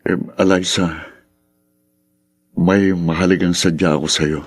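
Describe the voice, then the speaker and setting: A man playing a gentle, concerned friend in a radio drama, speaking earnestly. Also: low voice, fairly even intonation, unhurried, slightly rough, dry recording. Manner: earnest, consoling. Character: gentle, concerned male friend bearing news.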